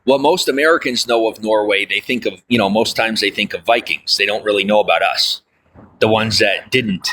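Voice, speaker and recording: A man's voice: fairly low pitch, moderate pace, bright, forceful tone, low-quality recording. A man livestreaming, chatting casually with viewers and reading their comments, rambling with lots of fillers and folksy asides. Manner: folksy, often says "I'll tell you what".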